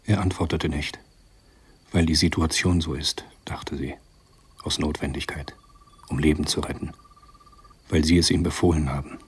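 A man narrating a radio drama from a script in a measured, sombre, husky voice. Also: very deep voice, fairly even intonation, a little hiss or hum.

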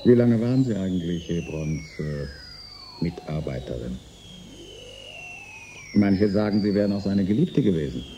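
A man playing an embittered middle-aged inventor in a German science fiction radio drama, speaking in a deliberate, wry, needling way. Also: low voice, lively intonation, mellow, lo-fi sound, a little hiss or hum. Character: embittered middle-aged male inventor turned saboteur, sardonic and resigned.

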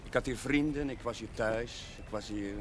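An actor playing an earnest, sentimental village chaplain in a television period drama. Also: male voice, mid-range voice, normal speed, natural voice. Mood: wistful, earnest. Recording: noisy old TV broadcast audio.

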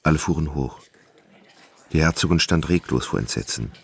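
A man's voice: extremely low pitch, normal speed, vocal fry, breathy edge. A man reading scripted literary narration as the narrator of a radio drama.

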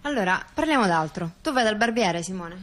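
A woman reads out court records for a radio theater piece. Her delivery is measured and formal. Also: mid-range voice, expressive, a bit bright. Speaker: theater performer.

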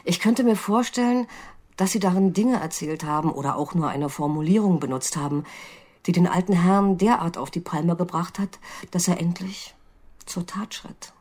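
An actress playing a probing, sceptical examining magistrate in a naturalistic radio crime drama, precise and insistent, with a low, husky voice. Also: female voice, normal speed, a little mellow, natural voice. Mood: pointed, insistent. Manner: precise, insistent, coolly interrogating.

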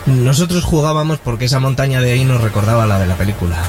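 An actor playing an earnest, eager young musician and grandson in an audio drama. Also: male voice, medium pitch, fast talker, noisy background, close to an everyday voice. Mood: weary, wistful.

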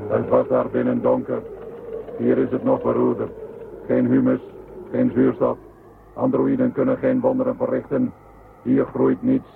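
An actor playing a glum, literal-minded worker android that grumbles in clipped phrases in a science fiction radio drama. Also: male voice, mid-range voice, monotone, normal speed, long pauses. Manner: clipped, telegraphic complaints. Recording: thin phone-like band, clearly noisy.

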